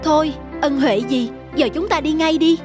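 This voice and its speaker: A woman's voice: high voice, normal speed, over music, natural voice. A woman playing an earnest, decisive female doctor, speaking emphatically in a cartoon drama. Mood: urgent.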